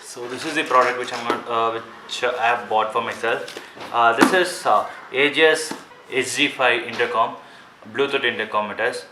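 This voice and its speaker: A man's voice: mid-range voice, fairly even intonation, normal speed. A man with an Indian accent giving casual, informal unboxing commentary to viewers, often repeating himself and calling them guys. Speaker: vlogger reviewing gadgets. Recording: echoey room with faint background noise.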